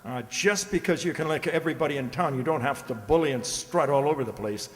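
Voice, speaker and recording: An actor playing a principled older tavern keeper in a radio drama, earnest and expressive. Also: male voice, fairly high pitch, fast talker, lightly acted. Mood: indignant. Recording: reverberant hall.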